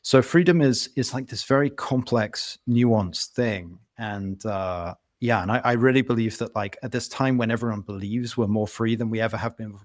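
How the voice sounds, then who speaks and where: A man's voice: fairly low pitch, moderate pace, hardly any pauses, no room sound, clean studio sound. A British man from London, an author being interviewed, reasoning aloud at length in an earnest, conversational way. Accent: British English. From England (London). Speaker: author guest.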